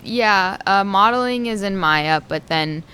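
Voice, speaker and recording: A young woman who grew up in the United States, answering interview questions as a game artist in a soft, hesitant way with many pauses. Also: mid-range voice. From Israel, raised in the United States.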